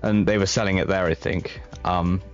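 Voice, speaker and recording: Low-voiced man rambling in casual chat. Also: steady tone, normal speed, dull audio, soft background music. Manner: rambling, hesitant with many fillers. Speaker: podcast co-host.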